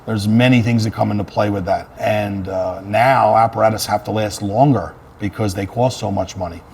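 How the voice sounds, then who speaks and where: A man with a low voice talks casually as an interview guest. He is earnest and conversational, often repeating a phrase to press it home. There is clear ambient noise behind him. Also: steady tone, moderate pace, a little mellow. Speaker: fire chief, interview guest. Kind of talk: casual interview talk about work.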